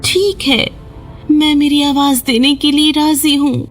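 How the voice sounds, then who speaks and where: A woman's voice: high-pitched, flat delivery, soft background music, voice pushed up, close to an everyday voice. A woman voicing a young mermaid princess, earnest and pleading, in a children's fairy tale cartoon.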